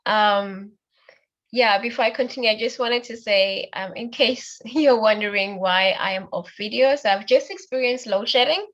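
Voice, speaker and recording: A woman's voice: on the high side, normal speed, a bit bright, dull audio. A woman casually moderating an online group discussion, speaking conversationally to participants. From South Africa. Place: online call, off video during a power cut.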